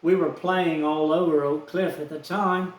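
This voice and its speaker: A man, telling a rambling personal story in a slow, aggrieved, folksy way. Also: a little high, steady tone, recorded from a distance. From Texas, USA. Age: 70s. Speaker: vlogger. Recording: echoey room, off-mic.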